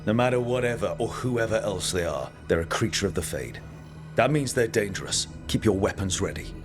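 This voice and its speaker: Casting: male actor for a wary leader in a fantasy game, lowish voice, no-nonsense and determined, music playing behind. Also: animated, brisk, natural voice. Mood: wary, resolute. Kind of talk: video game voice acting.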